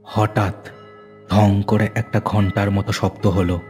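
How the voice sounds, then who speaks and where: A male narrator tells a horror tale in a fairly low voice. His phrasing is deliberate and suspenseful, in a dramatic storytelling style, with music underneath. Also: level tone, darkish tone.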